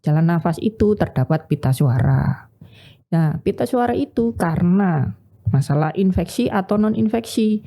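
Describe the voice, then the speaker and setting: Middle-aged woman doctor, low mellow voice, patient explainer with filler pauses. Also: expressive, creaky. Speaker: ENT doctor guest. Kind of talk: explaining health topics in casual chat.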